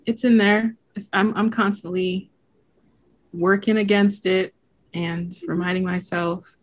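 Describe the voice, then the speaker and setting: A woman's voice: medium pitch, fairly even intonation, measured pace. A young woman from Haiti with no trace of an accent, talking reflectively as a podcast guest, with many "like" fillers and frequent laughter. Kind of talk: casual conversation.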